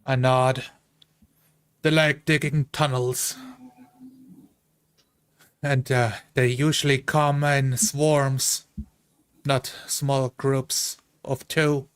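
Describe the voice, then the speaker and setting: A man's voice: mid-range voice, little pitch movement, extremely slow, pauses often, clean tone, no echo. A man playing a tabletop roleplay game, narrating his character's actions in piecemeal phrases.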